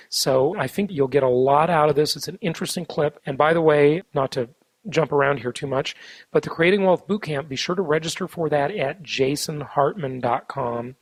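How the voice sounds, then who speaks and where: A man hosting a show, chatty and promotional, his voice hoarse from a cold. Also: medium pitch, no echo, poor audio. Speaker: podcast host. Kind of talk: solo show intro and announcements.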